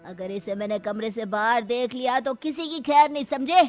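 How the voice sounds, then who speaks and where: A woman's voice: on the high side, fairly even intonation, moderate pace, darkish tone, lightly acted. Stern uncle of a traditional household, played by a woman: bossy, scolding, storybook drama over music. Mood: angry, threatening. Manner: scolding, commanding. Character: stern, domineering patriarchal male in-law. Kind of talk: Hindi moral story character voice.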